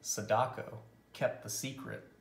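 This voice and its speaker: A man narrating a picture book aloud as a storyteller, with expressive, dramatic and deliberate phrasing. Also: reverberant, a little hiss or hum.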